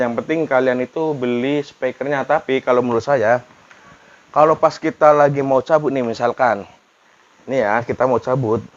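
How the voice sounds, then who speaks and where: A man's voice: medium pitch, lo-fi sound. A man giving a casual, informal product review, repeating himself as he chats to viewers like a vlogger. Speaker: vlogger reviewing motorcycle helmet intercoms.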